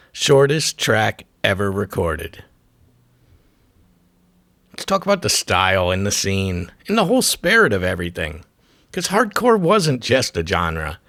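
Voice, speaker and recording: A man's voice: medium pitch, creaky, a bit bright, no echo, clean studio sound. A man narrating a scripted music documentary as its host, delivering short, punchy, dramatic phrases. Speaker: host of a music history show.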